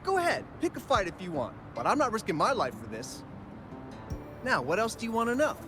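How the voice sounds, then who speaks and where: A sly gang boss who throws his weight around, then folds the moment he is outmatched. His voice sits high and swings widely in pitch, with a brash, wheedling manner. It is game voice acting with music playing clearly behind. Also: wildly swooping pitch, fairly quick. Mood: evasive. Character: blustering male gang boss, cowardly and sly.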